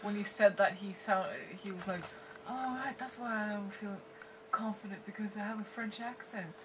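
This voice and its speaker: A woman's voice: mid-range voice, steady tone, moderate pace, recorded from a distance, background noise. A Norwegian woman in casual chat, bluntly questioning the reviewer.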